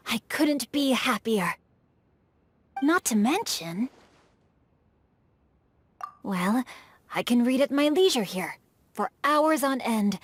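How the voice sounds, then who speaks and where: A woman's voice: on the high side, slight background noise. A woman voicing a refined, bookish, playful boy with eloquent, formal phrasing in a video game. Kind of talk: video game character voice acting.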